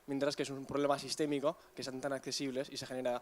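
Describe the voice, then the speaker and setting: Quick-talking man asking from the audience. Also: medium pitch, level tone. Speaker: audience member. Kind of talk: asking a question.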